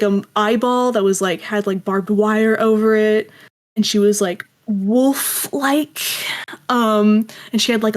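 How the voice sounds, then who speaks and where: High-voiced woman chatting casually. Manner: rambling, self-deprecating. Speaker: interview guest, audio drama creator. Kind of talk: casual chat, telling anecdotes.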